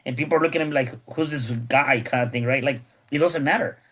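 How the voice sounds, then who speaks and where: Man, podcast host, casual chat, halting restarts, mid pitch. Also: fairly bright tone, breathy edge. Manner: rambling, many repeated words and restarts.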